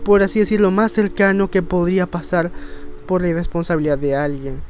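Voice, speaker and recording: A man's voice: sits high, fairly even intonation, brisk, a little mellow. A man narrating a video essay with personal opinions, in an emphatic, rhetorical way with dramatic stress on key words. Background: faint music.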